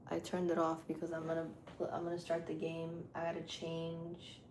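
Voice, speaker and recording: A woman live streamer with a deep voice, chatting casually with her viewers while she sets up a game. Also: monotone, normal speed. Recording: echoey room with loud background noise.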